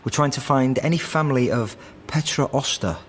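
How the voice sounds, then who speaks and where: A man plays a courteous British researcher in a radio drama. His mid-pitched voice is hesitant and explanatory, with faint ambience around it. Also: fairly quick, slight background noise, close to an everyday voice. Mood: polite, probing. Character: polite, tentative British probate researcher. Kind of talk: naturalistic radio drama acting.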